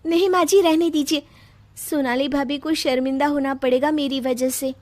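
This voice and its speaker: A woman voicing a meek, gentle young daughter-in-law in a cartoon story, timid and respectful. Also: high-pitched, steady tone, moderate pace, pitched above the performer's own voice, lightly acted. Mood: sad, timid.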